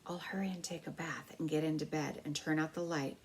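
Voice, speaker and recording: A woman's voice: deep voice, fairly even intonation, fairly quick, a little hiss or hum, natural voice. Woman playing an eager, polite young boy. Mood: secretive whisper. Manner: casual childish phrasing.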